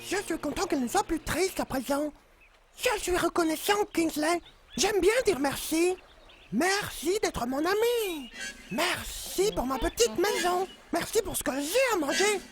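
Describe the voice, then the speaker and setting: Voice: very high voice, expressive, unhurried, soft background music. An actor voicing a small, grateful, cheerful jungle animal in an earnest, gushing way for a preschool cartoon. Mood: happy, thankful.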